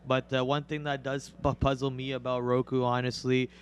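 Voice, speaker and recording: A raspy young man hosting a live stream, talking through his trades and calling out prices as they move. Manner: rapid excited play-by-play, gets loud and high when a stock moves. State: raspy voice from a cold. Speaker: day trader and co-host. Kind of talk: live trading commentary.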